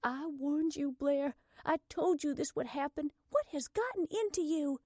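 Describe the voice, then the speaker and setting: An exasperated, high-strung woman voiced by a female audiobook narrator scolds and frets with urgent emphasis. Her pitch is pushed well up, and the sound is dry and close. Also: takes it slowly, dark tone, no echo. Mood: alarmed, scolding.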